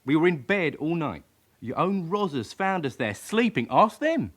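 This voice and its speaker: A man's voice: fairly high pitch, very animated, normal speed, fairly bright tone. An actor playing a cocky, surly young criminal in his early twenties in a radio drama, with a London accent and a defiant, argumentative manner. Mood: cocky, dismissive. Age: young adult.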